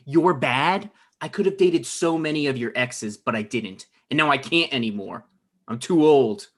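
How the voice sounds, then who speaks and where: A man acting as an anxious thirty-something guy in a naturalistic comedy read. He speaks haltingly at a medium pitch and sounds defensive and resentful. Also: a bit bright, close to an everyday voice. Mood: aggrieved.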